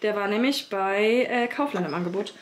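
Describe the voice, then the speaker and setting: Slightly hoarse woman chatting to viewers. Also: mid-range voice, normal speed, a little hiss or hum. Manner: chatty, talks straight to viewers with many fillers. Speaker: family vlogger, mother of three. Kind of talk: casual vlog chat to camera. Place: child's bedroom and rooms at home, indoors. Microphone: phone camera held at arm's length.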